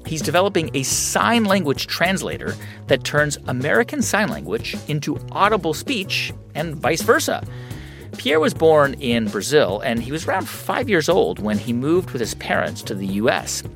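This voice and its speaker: A man hosting a program, reading a scripted introduction and then asking questions, animated and a bit hesitant. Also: on the high side, normal speed, soft background music. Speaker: interviewer and program host.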